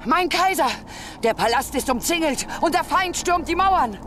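An actress playing a loyal imperial guard in a fantasy game, urgent and deferential. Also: female voice, sits high, lively intonation, normal speed, fairly bright tone, a little hiss or hum.

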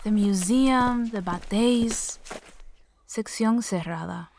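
Fairly high-voiced, nostalgic family guide. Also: female voice, slow pace, a little mellow, a little hiss or hum. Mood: nostalgic, encouraging. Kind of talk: naturalistic audio drama acting.

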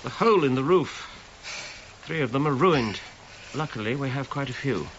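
A man acting a proud, earnest gentleman scholar in a period radio play. His mid-range voice moves expressively through clipped, educated phrases with a possessive edge. The recording is dull, with some noise behind it. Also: medium pitch, animated, moderate pace, lightly acted. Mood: matter-of-fact, absorbed. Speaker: actor in a radio drama.

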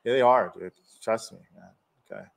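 A man's voice: lowish voice, huge pitch swings, moderate pace, vocal fry. A man in his forties in a garage workshop, casually explaining dent repair tools to a live audience. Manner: casual, hands-on, chatty with viewers. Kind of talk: live-stream tool demonstration.

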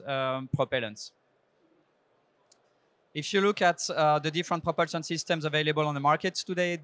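A man in his thirties pitches his company from a trade show stage. His voice sits slightly high for a man and sounds dry and close on a handheld mic. He talks earnestly and steadily, with small fillers and restarts. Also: a bit bright, no echo. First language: French. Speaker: startup CEO pitching. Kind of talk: startup pitch presentation. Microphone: handheld microphone.

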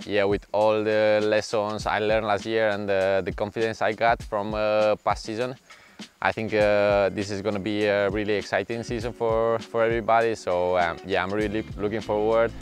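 A young man, a pro mountain biker, speaking in a halting way with frequent fillers while outdoors among trees. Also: lowish voice, flat delivery. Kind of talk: casual interview. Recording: music bed underneath.

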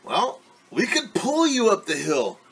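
A man's voice: sits high, wildly swooping pitch, a little slow, gravelly, fairly bright tone, slightly rough, pitched above the performer's own voice, exaggerated voice, poor audio. A man voicing helpful young girls in a storybook, practically urging their companion along. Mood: helpful offering. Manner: practical, urging, offering help. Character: helpful young girl friends coaxing a vain companion.

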